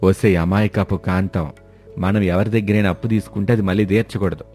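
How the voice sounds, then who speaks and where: A man's voice: low-pitched, fairly even intonation, brisk, darkish tone, lightly acted. A man voicing a cunning village husband in a story, brazen, colloquial and dismissive. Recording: music behind the voice.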